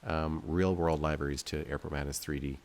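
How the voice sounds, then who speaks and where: Guy with a deep voice talking thru a game he's playing, rambly, kinda self-deprecating, thinks out loud. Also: little pitch movement, brisk. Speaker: game developer and video host playing his game. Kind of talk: casual gameplay commentary.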